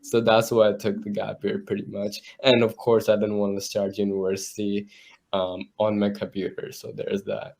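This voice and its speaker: A young man from India, a university student, chatting in a relaxed way with easy laughs on a video call. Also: fairly low pitch, moderate pace. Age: young adult. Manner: relaxed, laughs easily, loose phrasing. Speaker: panel guest, student. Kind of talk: casual chat.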